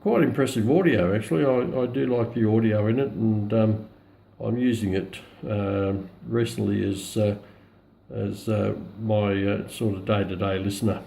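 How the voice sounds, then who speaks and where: An Australian man casually walking through a product in a hesitant, low-key, unscripted way. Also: gravelly, mellow, a little hiss or hum. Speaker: radio hobbyist presenting a demonstration. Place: indoors at home, radio on a countertop.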